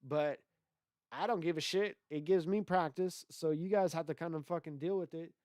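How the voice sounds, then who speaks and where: A man's voice: on the high side, moderate pace, darkish tone, dry recording, clean studio sound. A man hosting a solo livestream podcast, talking in a loose, rambling way with a lot of swearing, his voice stuffy from congestion. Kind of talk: casual profane livestream rant.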